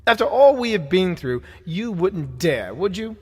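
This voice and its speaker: Fussy Victorian male archivist, acted, teasing and theatrical, very lively pitch movement, slightly raspy, hall-like echo. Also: unhurried, heavily acted. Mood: coaxing, pleading. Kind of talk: scripted audio drama. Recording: long hall-like reverb.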